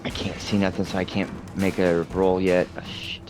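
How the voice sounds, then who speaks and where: A man with a fairly low voice chats casually while playing an online tabletop game. Quiet music sits behind his talk. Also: flat delivery, normal speed.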